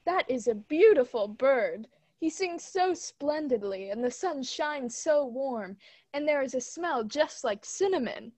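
A woman's voice: high voice, expressive, a little mellow, no room sound. A woman playing a contented fairy-tale father. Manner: slow, gladly drawn-out lines. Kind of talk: amateur group read-aloud of a fairy tale.

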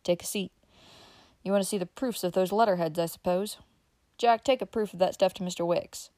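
A woman reading an audiobook gives a young male printer a calm, modest, plain-spoken voice close to her own. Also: lowish voice, a little fast, dry recording, lightly acted. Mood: friendly.

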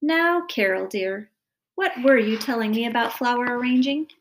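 A woman's voice: on the high side, normal speed, close to an everyday voice. A woman voicing an exasperated, stern father of a noisy family in a children's story. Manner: stern, loud, fed up.

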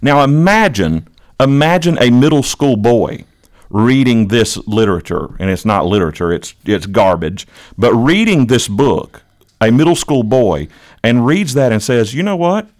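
A man's voice: mid-range voice, expressive, normal speed. A man hosting an impassioned political talk, speaking in a preachy, emphatic way and repeating phrases for effect. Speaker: podcast host and longtime educator.

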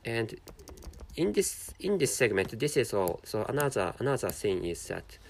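A man whose first language is Japanese, speaking English with a Japanese accent in a halting solo commentary, repeating words and correcting himself. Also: mid-range voice, sing-song, vocal fry, slight background noise. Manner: halting, with heavy repetition and self-correction. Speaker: podcast host.

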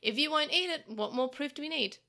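A bold young boy leader from a storybook, read by a woman. He is confident and teasing, brisk and a little bossy. His voice is bright and lively. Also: high-pitched, pitched above the performer's own voice, exaggerated voice. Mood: confronting.

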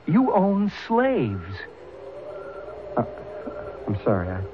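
Radio drama: sensitive, embittered young gentleman, grave formal speech. Thin vintage audio with faint noise. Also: high-pitched, huge pitch swings, slow, exaggerated voice. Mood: bitter, brooding. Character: proud, bitter, sensitive young gentleman outcast. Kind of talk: old-time radio drama acting. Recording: narrow-band, noisy old radio recording.

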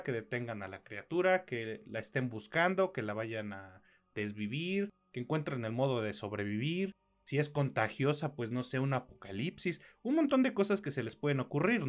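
A Mexican man co-hosting a podcast, reviewing films and series in a blunt, casual, slangy way. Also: mid-range voice.

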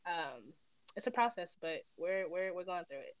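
A woman's voice: on the low side, lively intonation, normal speed, dry recording. Candid woman chatting, close dry sound. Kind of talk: casual personal conversation.

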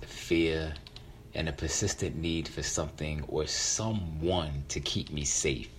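Deep-voiced middle-aged man telling his story. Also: a little hiss or hum. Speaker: featured storyteller. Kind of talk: personal autobiographical storytelling.

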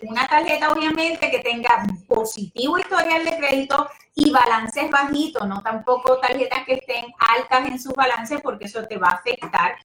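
A woman's voice: high-pitched, moderate pace, few pauses, a bit bright. A Puerto Rican woman giving casual advice as a host, chatty and familiar, with affectionate diminutives and the odd English word. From Puerto Rico. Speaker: host and home loan advisor. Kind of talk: casual advice talk to a live audience online. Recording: roomy echo, distant microphone.

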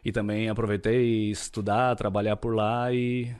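A Brazilian man speaks as a guest in a relaxed interview, his voice low and held to a narrow, even pitch. He takes his time and now and then hunts for the right word, leaning on tag questions as he goes. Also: clear, resonant tone. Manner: unhurried, searches for words, frequent tag questions. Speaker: podcast guest, real estate broker. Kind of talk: casual interview chat.

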